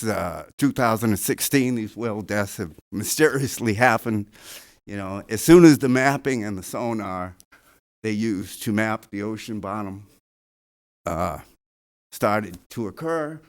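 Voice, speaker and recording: A man giving an impassioned public comment at a town board meeting, halting with many fillers. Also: mid-range voice.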